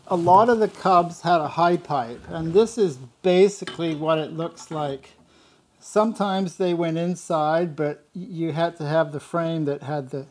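A man's voice: on the high side, a little mellow. An older man casually talking through motorcycle fabrication step by step in a large workshop, repeating words as he goes. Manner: plain-spoken, explains step by step with frequent word repeats. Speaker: motorcycle builder showing his shop work.

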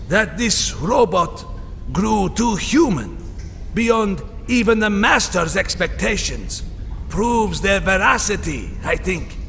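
A man voicing an elderly, loyal yet sinister manservant, measured and formal, in a comic drama. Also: sits high, gravelly, bright, forceful tone, full character voice. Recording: cavernous reverb, faint music.

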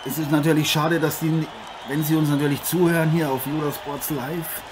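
A man's voice: a little high, flat delivery, normal speed, crowd noise, close to an everyday voice. A man playing a chummy, slightly grandiose celebrity co-commentator in an improvised boxing audio drama. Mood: friendly, engaged. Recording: noisy arena ambience behind voice.

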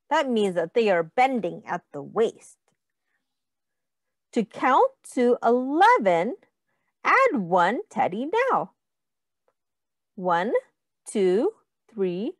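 A woman with a high, lively voice reads to small children as their teacher. She takes it very slowly and deliberately, posing questions and counting along. The sound is close and dry. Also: dry recording. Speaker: teacher reading aloud to young children. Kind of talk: children's picture-book read-aloud and counting lesson.

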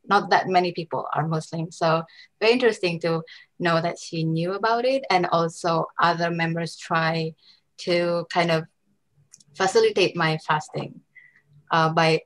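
A woman's voice: lowish voice, fairly even intonation, normal speed, low-quality recording. A young woman from Indonesia, a graduate student, answering earnestly on a video call through a headset. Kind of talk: panel interview answers.

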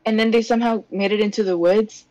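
A woman's voice: mid-range voice, steady tone, quick pace, dark tone, no echo, dull audio. A woman in casual chat during a comic readthrough as an amateur voice actor.

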